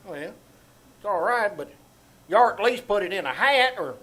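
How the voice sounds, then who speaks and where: A man's voice: high-pitched, lively intonation, pushed and bright. A man playing a gullible, grumbling rural old-timer with a Southern American accent in a radio comedy reenactment, hemming and hawing. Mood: puzzled, dithering. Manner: folksy, hemming and hawing, drawn-out exclamations. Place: small-town theater stage before an audience. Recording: live theater recording with background noise.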